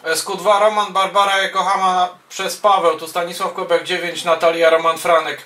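A man's voice: sits high, little pitch movement, moderate pace, pushed and bright. A man making amateur radio calls and casual commentary, procedural, with hesitant phrasing, recorded from a distance in a small room. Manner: procedural, spells callsigns in phonetic words, hesitant between phrases. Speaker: amateur radio operator and YouTuber. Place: small wood-panelled radio room indoors. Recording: roomy, distant microphone sound.

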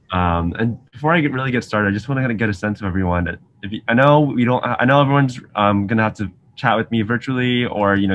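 A man's voice: fairly low pitch, a bit bright, muffled recording, slight background noise. Chatty young man teaching over video call. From Singapore. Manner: friendly, chatty, frequent ums, asks students questions. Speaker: graduate student science outreach teacher. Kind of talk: casual online science lesson. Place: lab office with shelves, indoors. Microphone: video call webcam.

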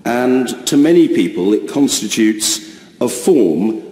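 A man in his fifties, the speaker of the house, giving a formal ruling with elaborate phrasing and deliberate delivery in a large chamber. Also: medium pitch, expressive, a little mellow. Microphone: chamber microphone, seated. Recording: roomy chamber with long reverb.

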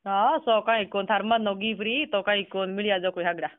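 High-pitched Rajasthani man on phone. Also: fairly even intonation, normal speed, no echo. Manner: questioning, repeats words back. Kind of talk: casual phone conversation. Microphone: telephone. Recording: tinny, narrow phone call recording.

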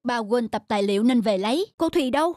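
A man's voice: very high-pitched, expressive, fast, darkish tone, no echo, clean studio sound, soft background music. A mild cartoon father. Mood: calm, encouraging. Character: mild, busy businessman father, gently encouraging. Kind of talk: cartoon dialogue.

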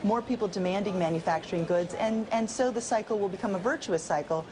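A woman's voice: medium pitch, quick pace, crowd noise. A woman in her thirties, a remote TV guest, explaining economic policy fluently and upbeat. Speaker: think-tank economist guest. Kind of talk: live TV interview. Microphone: remote studio camera.

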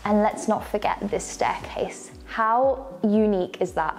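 A young woman presents a house tour in a polished, upbeat way. Her voice sits at an average pitch. Light music plays softly underneath. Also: measured pace. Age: young adult. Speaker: presenter of a luxury home tour show. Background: faint music.

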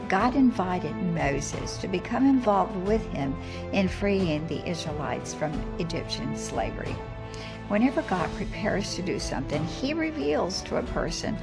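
Woman doing a scripted bible study reading, measured, gravelly fry in her voice, music playing behind. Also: on the low side, sing-song. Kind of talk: scripted devotional narration. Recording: background music under voice.

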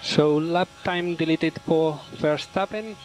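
Over a team radio with faint vehicle noise comes a male race engineer. His voice sits high, and he gives short instructions at a slow, measured pace. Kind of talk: terse radio instructions and callouts to a driver. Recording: noisy motor racing team radio.

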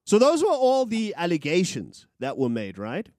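A man's voice: sits high, normal speed, dry recording. A young South African man co-hosting a podcast, giving heated, profane news commentary with big hand gestures into a studio mic. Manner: animated, profane, gesturing with his hands. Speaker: podcast co-host. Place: small studio room. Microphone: studio mic on a boom, with headphones.

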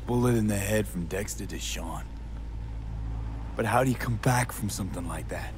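An actor playing a defiant, desperate young street mercenary in a video game, brash, sweary and emotionally raw. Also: male voice, lowish voice, normal speed, background noise, close to an everyday voice. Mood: disbelieving. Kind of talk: cinematic video game dialogue. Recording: music behind the voice.

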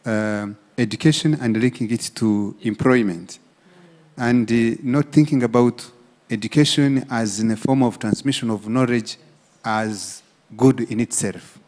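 A man in his thirties puts a question from the audience at a conference. His phrases start haltingly. He is on a microphone in a hall with long reverb. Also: mid-range voice, steady tone, darkish tone. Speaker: audience member asking a question. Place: indoor conference room. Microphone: handheld microphone.

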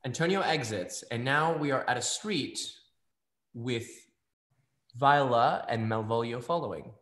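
A man's voice: medium pitch, measured pace, fairly bright tone, reverberant. A man in his twenties reading out stage directions for an online play reading over a video call. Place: indoor room at home.

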